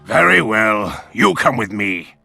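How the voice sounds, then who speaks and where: Imposing bear warrior, gravelly, wildly varied pitch, commanding, slow, game cutscene. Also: male voice, big pitch swings, sharp tone, soft background music, full character voice. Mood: stern. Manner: deliberate, commanding. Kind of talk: video game cutscene acting.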